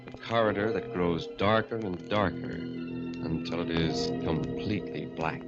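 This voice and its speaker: An American man narrating and announcing a 1940s radio horror show in a solemn, dramatic, measured manner. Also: low voice, loud music behind the voice. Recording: dull vintage radio recording.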